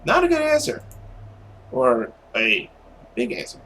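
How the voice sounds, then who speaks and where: A man with a lively, widely swinging mid-pitched voice hosts a visual novel playthrough. He reads dramatically and adds his own commentary, with faint noise in the background. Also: a little slow. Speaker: let's player and narrator.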